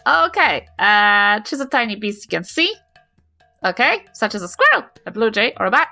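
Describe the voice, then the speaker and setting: A woman chatting casually as a player in a tabletop role-playing game, giggly and thinking out loud as she goes. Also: mid-range voice, very animated, creaky, faint music behind. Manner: halting, thinking aloud, giggly. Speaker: player in a tabletop RPG podcast.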